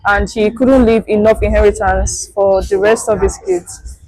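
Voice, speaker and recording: Earnest woman, medium pitch. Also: flat delivery, a little slow, a little mellow, no echo, poor audio. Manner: earnest, reflective. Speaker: interviewee, audience member. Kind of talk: unscripted interview.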